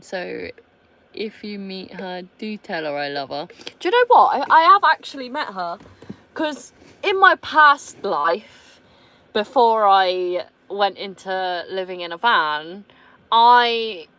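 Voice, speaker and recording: A woman's voice: mid-range voice, a bit bright. A woman in her thirties with a British accent, chatting casually on her vlog and reading out viewer comments, blunt, swearing freely and laughing often. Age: 30s. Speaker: vlogger.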